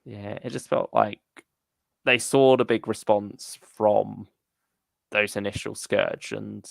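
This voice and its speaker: A man's voice: lowish voice, fairly even intonation, slow pace, gravelly, no echo. A man co-hosting a fan stream, chatting casually and musing as he goes, sometimes trailing off. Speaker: co-host of a fan discussion stream.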